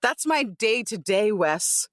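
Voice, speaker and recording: A woman's voice: medium pitch, expressive, takes it slowly, no echo. Chatty, playful woman, dry recording. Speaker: podcast cast member, voice performer. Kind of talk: casual chat, plugging own shows.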